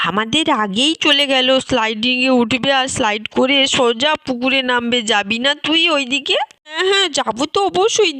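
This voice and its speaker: Woman doing an excited bossy village kid, doll cartoon, high pitched, bickering, dry close sound. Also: dry recording. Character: excitable, bossy village children, eager to play. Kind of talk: doll-play story dialogue.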